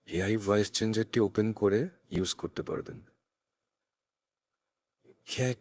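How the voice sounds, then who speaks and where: A man narrating a step-by-step software tutorial in a careful, instructional way, recorded on a close USB condenser mic. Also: low voice, dry recording. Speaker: tech tutorial presenter. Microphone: close USB condenser microphone.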